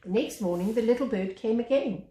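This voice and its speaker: High-voiced woman reading a story, echoey room. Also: brisk, mellow. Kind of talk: expressive children's story narration.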